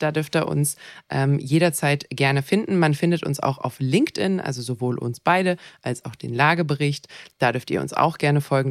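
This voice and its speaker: A podcast co-host with a mid-pitched voice, recorded close and dry. The talk is informal and chatty, with a joking streak. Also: no echo. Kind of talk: casual podcast chat.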